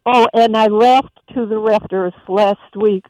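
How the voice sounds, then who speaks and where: A woman's voice: medium pitch, fairly even intonation, measured pace, a little mellow, no echo, rough recording. A woman calling in to a radio show, rambling and cheerful as she makes requests. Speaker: caller. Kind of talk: phone-in request call.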